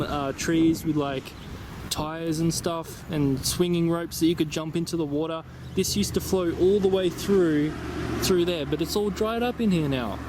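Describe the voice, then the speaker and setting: A man's voice: fairly high pitch, background noise. Chatty Australian young man vlogging outdoors. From Tasmania, Australia. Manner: chatty, filler-heavy, easily excited. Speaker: travel vlogger. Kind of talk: casual vlog narration. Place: outdoors, windy riverside in a small town. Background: loud music.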